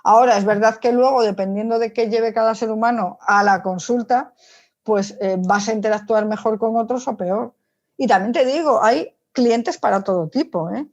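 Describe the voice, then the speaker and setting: A woman's voice: on the high side, moderate pace, darkish tone. A woman psychologist answering as an interview guest, talking informally and emphatically, often with rhetorical questions. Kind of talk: conversational interview, explaining opinions. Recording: dull lo-fi audio.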